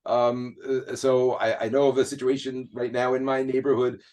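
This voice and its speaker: A man of middle pitch talking as a guest in a relaxed interview. He speaks earnestly and unpolished, stumbling over words and restarting his thoughts as he explains. His delivery sounds like a real conversation rather than a prepared speech. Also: moderate pace. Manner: hesitant, many restarts and fillers, earnest.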